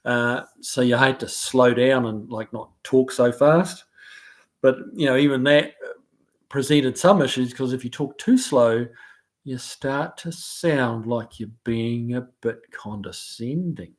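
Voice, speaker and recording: A man from New Zealand hosts a relaxed live stream, and his New Zealand accent has been softened by years abroad. He reads out viewer comments and answers each one in an easygoing, chatty way. His pitch sits in an ordinary middle range. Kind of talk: casual live stream chat.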